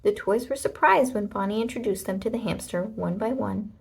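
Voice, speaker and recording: Woman, medium pitch, husky edge, expressive children's read-aloud, deliberate dramatic emphasis. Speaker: storybook narrator.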